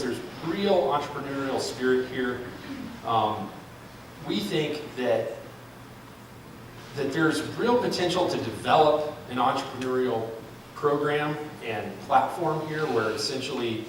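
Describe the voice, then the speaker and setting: A man presenting a market analysis at a public forum, speaking off the cuff with many ums and restarts. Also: medium pitch. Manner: thinking aloud, frequent ums and restarts. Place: large room at a public forum. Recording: echoey hall, far from mic, noisy.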